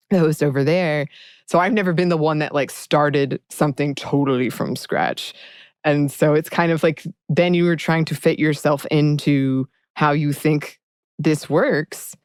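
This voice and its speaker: A woman podcast co-host talking thoughtfully in a casual conversation, speaking in quick bursts with hesitant fillers. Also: deep voice, clean tone, dry recording, studio quality.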